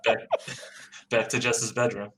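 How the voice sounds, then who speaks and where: A man's voice: mid-range voice, little pitch movement, measured pace, very noisy. A man who hosts a podcast, reading stage directions aloud in a plain, matter-of-fact way.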